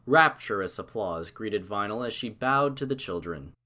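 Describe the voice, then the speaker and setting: A man's voice: on the low side, lively intonation, normal speed, bright, forceful tone. A man giving a scripted narration of a story as an audiobook narrator.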